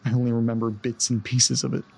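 An actor playing a distressed, desperate man in an audio drama, speaking earnestly and haltingly, often breaking off mid-sentence. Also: medium pitch, little pitch movement, vocal fry, a little mellow, slightly rough. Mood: haunted, uneasy. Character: distressed man recounting a haunted-house ordeal, desperate to get home. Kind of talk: audio drama acting, naturalistic.

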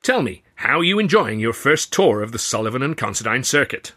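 A man voicing a brash, cigar-chomping American theatre boss who booms bluffly and growls when crossed. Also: very animated, a little fast, bright, forceful tone. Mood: hearty.

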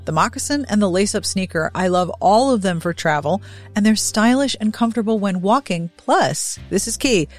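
Woman podcast host with music behind. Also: medium pitch. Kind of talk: scripted podcast intro and host-read ads.

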